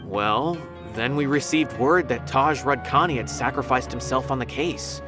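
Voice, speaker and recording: A man's voice: mid-range voice, moderate pace, natural voice. An actor playing a polite, earnest male academy officer who reports courteously, in a video game cutscene. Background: game music.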